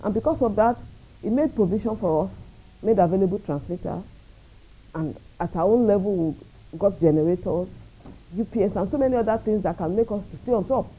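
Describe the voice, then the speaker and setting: A Nigerian woman speaking as a radio station executive in an interview, measured, earnest and proud. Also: mid-range voice, warm tone, husky, rough recording. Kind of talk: interview answers.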